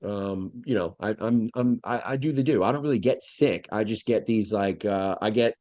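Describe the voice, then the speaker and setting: A man's voice: lowish voice, moderate pace, darkish tone. A congested man co-hosting a casual podcast over a video call, his voice dull and a bit lo-fi with no room echo at all. He rambles, swears freely and restarts his sentences a lot. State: congested nose. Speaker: podcast co-host.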